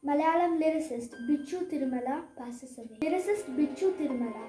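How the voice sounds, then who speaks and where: A woman's voice: high-pitched, lively intonation, fast. A girl of about eleven with an Indian accent, reading scripted news as a school news presenter. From Kerala, India. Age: child, about 11. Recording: roomy echo with music behind. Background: music.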